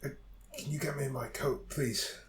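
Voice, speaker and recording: An actor playing a gentle, shaken young man who speaks haltingly in an audio drama. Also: medium pitch, steady tone, close to an everyday voice. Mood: hesitant, confused. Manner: hesitant, halting.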